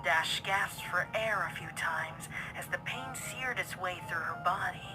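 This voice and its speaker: A woman's voice: lowish voice, measured pace, poor audio. A woman reading scripted narration for a dramatic story. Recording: loud music bed behind voice. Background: music.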